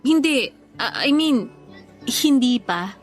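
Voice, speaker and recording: A coy young woman in love, a little defensive. Her voice is high, and music plays softly behind the radio drama dialogue. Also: expressive, slow pace. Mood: defensive, sheepish.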